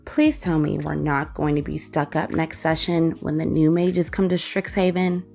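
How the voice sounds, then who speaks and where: Creaky-voiced, teasing student mage played by a woman. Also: sits low, level tone, normal speed, a little mellow, soft background music. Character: teasing young female mage student, chatty friend. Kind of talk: audio drama dialogue.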